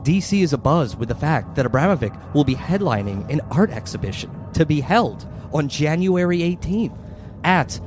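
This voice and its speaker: Animated man as game master. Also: a little high, moderate pace. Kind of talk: narrating a tabletop horror game. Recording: music bed behind the voice. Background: music.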